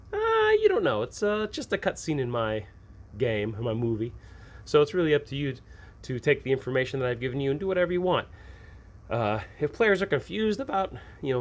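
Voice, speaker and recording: A man hosting an advice show for game masters, talking casually and unscripted, often posing questions and answering them himself. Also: fairly high pitch.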